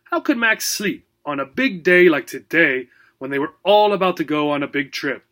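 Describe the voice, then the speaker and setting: A man narrates a children's storybook in a high, bright voice. His reading is expressive and theatrical, with lively swings in pitch. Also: normal speed. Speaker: teacher reading a children's book.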